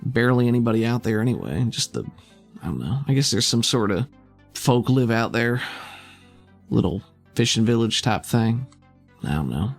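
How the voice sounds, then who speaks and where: A man's voice: medium pitch, big pitch swings, moderate pace, long pauses, faint music behind. Man voicing a drawling streetwise invisible spy woman. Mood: weary. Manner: drawling, slangy, wry. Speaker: game master. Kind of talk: tabletop roleplay in character.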